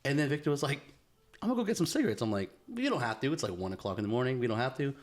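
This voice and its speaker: A man's voice: medium pitch, sing-song, very fast. A young man co-hosting a podcast, chatting casually and telling a story with lots of fillers and laughs. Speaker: podcast co-host. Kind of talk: casual chat.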